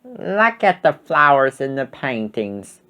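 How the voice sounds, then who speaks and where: A man's voice: medium pitch, sing-song, slow, sharp tone, exaggerated voice. A man voicing a kindly grandmother explaining things in a children's storybook. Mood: gentle, explaining.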